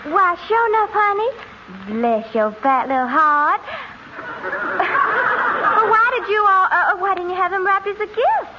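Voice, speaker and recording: Very high-pitched sugary Southern belle. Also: female voice, animated, takes it slowly, pauses often, exaggerated voice. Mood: cooing, sweet. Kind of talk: 1940s radio comedy acting. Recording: noisy, dull 1940s radio recording.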